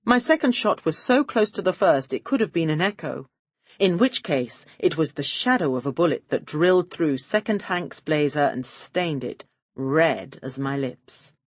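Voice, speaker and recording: A woman's voice: low-pitched, expressive, normal speed, no room sound, studio quality. A woman reading a first-person detective story as an audiobook narrator, with a wry, arch and poised delivery.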